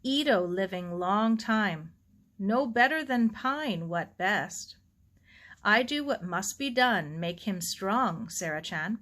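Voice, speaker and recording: Woman playing a slow-speaking, devout old gardener man. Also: mid-range voice, lively intonation, fairly bright tone. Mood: calm, instructive. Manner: slow, broken English with dropped articles. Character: elderly Japanese gardener man, calm and devout.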